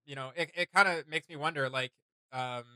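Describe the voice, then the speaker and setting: A man's voice: fairly high pitch, brisk, sharp tone, smooth, no echo. A man chatting casually as a reaction show host, thinking aloud in an enthusiastic, rambling way. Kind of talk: casual chat.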